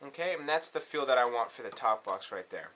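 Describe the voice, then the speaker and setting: A man's voice: medium pitch, lively intonation, brisk, bright, forceful tone, slight background noise. A man presenting a casual step-by-step synthesizer tutorial in an instructional way, often checking in with the listener.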